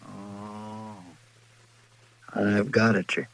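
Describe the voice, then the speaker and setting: An actor playing an earnest, deferential marshal's helper in a 1950s radio western, with a folksy rural American drawl. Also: male voice, lowish voice, monotone, very slow, vocal fry, exaggerated voice. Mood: earnest, obliging.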